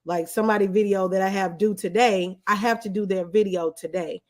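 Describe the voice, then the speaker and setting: A woman is a guest in a casual interview. Her voice sits at a middle pitch. She talks informally and colloquially, in a reflective manner. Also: fairly even intonation, brisk, darkish tone. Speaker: guest, videographer.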